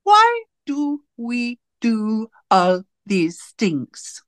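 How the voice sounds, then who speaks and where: A woman playing a cold, domineering interrogator in a radio drama, speaking in deliberate, commanding, rhetorical questions and pronouncements. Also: fairly high pitch, huge pitch swings, no room sound, heavily acted. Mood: controlled, imperious. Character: cold, domineering party interrogator and torturer, righteous and controlling. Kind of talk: theatrical radio drama acting.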